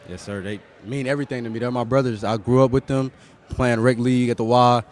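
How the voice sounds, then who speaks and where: A man's voice: medium pitch, fairly even intonation, fast talker, a little mellow, a little hiss or hum. A polite, modest teenage man from North Carolina, answering casually as an athlete in a post-game interview. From North Carolina, United States. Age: teens, high school. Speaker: high school basketball player being interviewed.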